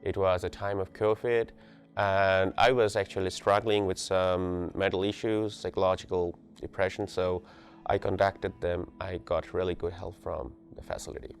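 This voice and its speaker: A man's voice: sits low, little pitch movement, normal speed, creaky. A man from Bangladesh, a doctoral student, giving an earnest interview account. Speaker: PhD student in biology. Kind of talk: interview testimony. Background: soft music.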